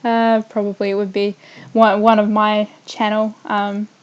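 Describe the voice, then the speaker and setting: A young woman from New Zealand with a New Zealand accent slightly softened by Australia, chatting on a casual gaming livestream and rambling off mid-sentence as she builds. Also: mid-range voice, little pitch movement, unhurried, smooth, muffled recording. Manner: hums and makes small random noises while working. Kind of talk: casual livestream chat while building in a game.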